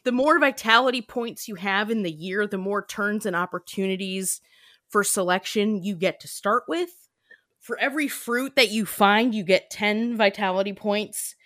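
A woman podcast host chatting casually, explaining things in an animated, giggly way. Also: mid-range voice, moderate pace, no room sound, clean studio sound. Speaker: podcast host and sketch creator. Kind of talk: casual chat.

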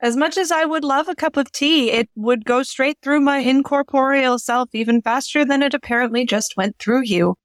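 A woman's voice: high-pitched, moderate pace, hardly any pauses, dry recording, studio quality, close to an everyday voice. A woman playing a wry, matter-of-fact imaginary ghostly friend in amateur comic radio theater. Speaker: podcast co-host.

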